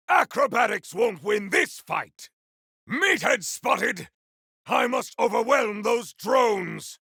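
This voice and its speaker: Bombastic, gravelly warrior. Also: male voice, sits high, sharp tone, no room sound, clean studio sound, full character voice. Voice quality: gravelly, rough. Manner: bombastic, theatrical declaiming. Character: grandiose, battle-hungry male warrior with fire and ice powers. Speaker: playable game character. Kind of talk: video game combat barks.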